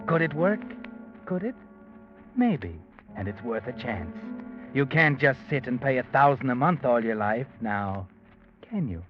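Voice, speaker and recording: Ominous, needling old-time radio crime narrator. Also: male voice, medium pitch. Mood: sly, urging. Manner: taunting, dramatic, insinuating. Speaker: narrator of a crime mystery drama. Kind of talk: 1940s radio suspense narration, addressed to the character. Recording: muffled old radio broadcast with music.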